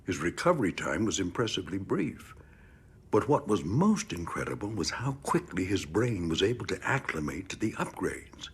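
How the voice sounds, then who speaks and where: An actor playing a gritty, middle-aged decorated military trainer in an audio drama, gruff and plain-spoken with emphatic, measured praise. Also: male voice, low voice, sing-song, exaggerated voice. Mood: proud, impressed. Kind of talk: audio drama interview acting.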